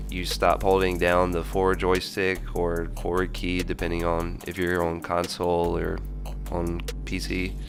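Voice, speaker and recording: A young man with a deep, stuffy voice walks through game tips in an even, flat tone, still congested from a cold. Music runs clearly under his words. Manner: steady, explanatory delivery. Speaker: gaming channel host. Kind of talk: scripted game tips voice-over. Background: background music.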